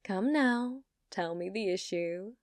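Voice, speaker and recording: Woman playing a slow, teasing, possessive yokai woman. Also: medium pitch, no echo, clean studio sound, lightly acted. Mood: teasing, curious. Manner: slow, teasing, intimate, often dropping to a whisper. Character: possessive, dominant female yokai, ancient, coyly sweet yet menacing. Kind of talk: ASMR roleplay.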